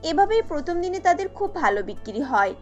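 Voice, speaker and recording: A female narrator tells a scripted cartoon story in a high voice. Faint music sits behind her narration. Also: sing-song, fairly quick, dull audio.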